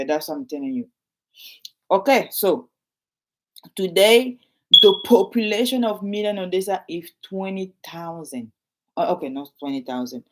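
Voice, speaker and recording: A woman with a French accent, a realtor chatting casually to her viewers while reading from her notes and adding asides. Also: on the low side.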